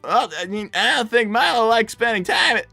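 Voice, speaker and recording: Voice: mid-range voice, expressive, moderate pace, creaky, bright, forceful tone, no echo, soft background music, full character voice. A performer voicing a young boy fox, an earnest, awkward and exasperated older brother who stammers when flustered, in improvised livestream roleplay. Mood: wary, exasperated.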